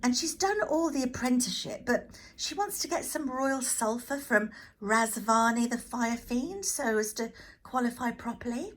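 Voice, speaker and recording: Young male storybook hero, female reader acting: high, eager, breathless, earnest, pleading. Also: expressive, moderate pace.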